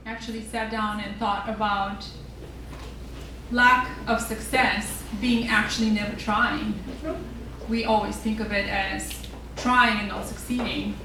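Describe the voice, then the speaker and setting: A woman hosting a student speaking event, introducing speakers in a measured, emphatic and formal way. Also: mid-range voice. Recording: very echoey hall, distant, noisy.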